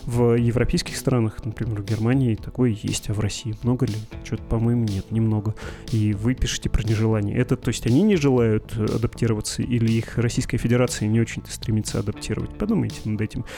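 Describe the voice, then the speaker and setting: A podcast host from the Perm region answering listener letters in a dry, ironic, argumentative way. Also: male voice, on the low side, steady tone, fast talker, gravelly, dark tone, soft background music. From Perm region, Russia. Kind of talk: reading and answering listener mail.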